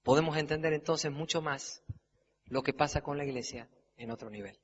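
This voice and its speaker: A man from Argentina preaching a sermon, building up to loud, emphatic peaks. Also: on the high side, moderate pace. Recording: dull, reverberant hall with faint noise.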